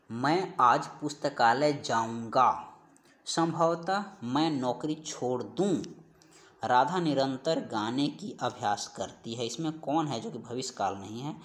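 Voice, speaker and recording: Man teaching, repeating key phrases slowly. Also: medium pitch, a bit bright. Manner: didactic, repeats each question and answer, slowing down on key phrases. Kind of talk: Hindi grammar exam-prep lesson, reading out questions and answers.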